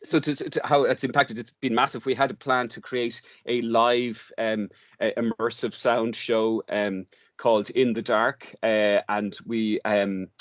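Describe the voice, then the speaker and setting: A man with an Irish accent answering questions in an informal podcast interview, speaking haltingly, repeating words as he searches for the next one. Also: medium pitch, little pitch movement, no echo, studio quality. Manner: hesitant, halting delivery with repeated words and long searching pauses. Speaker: interview guest, radio drama workshop director.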